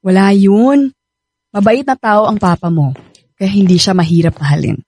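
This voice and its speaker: A woman's voice: medium pitch, moderate pace, dark tone, lightly acted. A Filipino woman playing a hurt, defiant young wife in a radio drama, emotional in delivery. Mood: light, polite. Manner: emotional, bitter, confrontational. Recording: music behind the voice.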